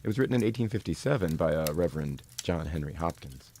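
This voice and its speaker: A knowledgeable father in a family audio drama, played by a man, low and gravelly. He explains in a casual, friendly way, with faint noise in the recording. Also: expressive, fast, dark tone. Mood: explanatory. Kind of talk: scripted conversational explanation.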